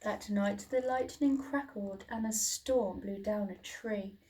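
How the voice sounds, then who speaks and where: A woman's voice: mid-range voice, takes it slowly, warm tone, a little hiss or hum. A woman reading a children's storybook expressively, like a teacher, recorded at a distance from the mic.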